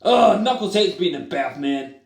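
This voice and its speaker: A man's voice: sits high, animated, moderate pace, creaky, voice pushed down. Male performer: a hot-tempered critter stuck as a duck, rants and wails in a roomy space. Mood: grumbling. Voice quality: shouty, whiny, grumbling. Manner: dramatic, wails and complains. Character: hot-headed male echidna turned into a duck. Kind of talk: playful homemade toy play. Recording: roomy echoey room.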